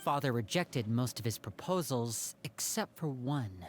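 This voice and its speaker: An actor voicing a composed young man in a game, explaining things calmly and in a measured way. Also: medium pitch, soft background music, natural voice. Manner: calm, measured. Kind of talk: game cutscene voice acting.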